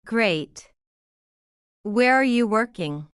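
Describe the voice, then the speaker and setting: A woman reading one side of a scripted English lesson dialogue, speaking in careful, clearly separated simple sentences. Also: a little high, expressive, very slow, fairly bright tone, no room sound, clean studio sound. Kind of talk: slow scripted practice dialogue.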